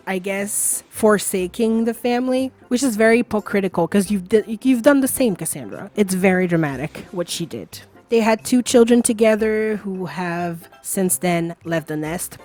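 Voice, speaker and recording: A woman's voice: mid-range voice, darkish tone. A woman chatting casually and rambling over gameplay, with lots of filler words and small laughs, while losing her voice. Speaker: video creator.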